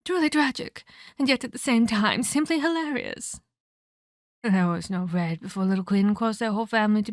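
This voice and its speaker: A woman's voice: fairly high pitch, fairly bright tone, no room sound, studio quality. A woman playing a sadistic, scheming, centuries-old female vampire in an ASMR roleplay, haughty and taunting, with formal, scolding phrasing.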